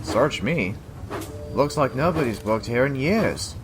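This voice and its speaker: A man's voice: mid-range voice, animated, a little slow, over music, natural voice, low-quality recording. A man playing a sensible, mildly scolding steam engine crewman in a children's audio drama, with a matter-of-fact way of talking. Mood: puzzled.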